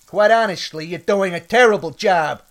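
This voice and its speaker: Grumbling, blunt cook with swooping pitch, acted by a man. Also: big pitch swings, unhurried, a bit bright. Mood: grumpy. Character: irritable, bigoted, foul-mouthed male kitchen cook. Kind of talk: adult comedy sketch acting.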